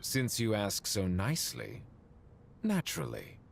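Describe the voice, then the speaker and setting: Low-voiced haughty ancient sage. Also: male voice, huge pitch swings, vocal fry, a little hiss or hum, full character voice. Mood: dry, amused. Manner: measured, eloquent, dryly condescending. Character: ancient male being, refined, dry, haughty sage. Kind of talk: game voice acting.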